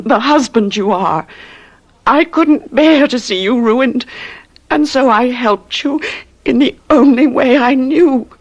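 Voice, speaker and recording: An actress playing a devoted, desperate wife in a radio drama, in an earnest, theatrical manner. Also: female voice, fairly high pitch. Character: devoted, desperate wife, composed yet pleading.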